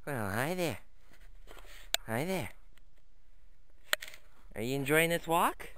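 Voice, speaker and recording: A man's voice: fairly high pitch, expressive, slow, background noise. A man talking to his dogs in a sing-song, coaxing way while filming a walk through snowy woods on a handheld camera. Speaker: vlogger walking his dogs.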